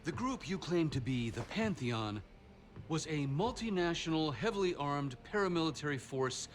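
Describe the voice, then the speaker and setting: A man's voice: fairly high pitch, expressive, normal speed, slight background noise, natural voice. An actor playing a stern, irritated intelligence boss in a military thriller game, commanding and sarcastic as he questions subordinates. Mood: accusing. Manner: commanding, sarcastic, cuts others off.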